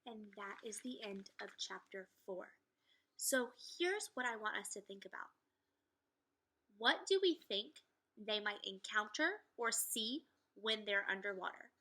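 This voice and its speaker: A woman's voice: medium pitch, animated, unhurried, sharp tone, rough recording. A woman teacher leading a read-aloud lesson for second graders, explaining things and addressing the pupils directly.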